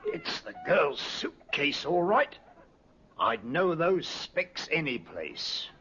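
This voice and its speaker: Animated village labourer. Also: male voice, a little high, slow, music in the background, full character voice. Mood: knowing, matter-of-fact. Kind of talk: 1950s radio drama acting. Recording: muffled vintage radio recording.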